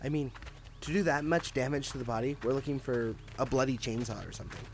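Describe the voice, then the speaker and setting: A man's voice: mid-range voice, quick pace, slight background noise, natural voice. A man acting a confident, cynical police detective with a sardonic, matter-of-fact manner in an audio drama. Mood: businesslike.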